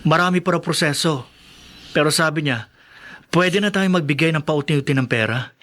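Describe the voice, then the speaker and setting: A man's voice: a little high, moderate pace, fairly bright tone, lightly acted. A man playing a patient, hopeful young restaurant worker in a radio drama, reassuring and persuasive. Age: young adult. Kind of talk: Filipino radio drama acting.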